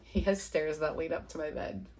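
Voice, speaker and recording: A woman's voice: low voice, steady tone, normal speed, darkish tone. A young woman in her twenties talks to her camera in a loose, rambling way, with a bit of self-deprecating humor. She is fighting a cold, so she sounds a little sniffly. She chats at home while she does her makeup. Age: 20s. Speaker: vlogger. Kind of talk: casual chat to camera.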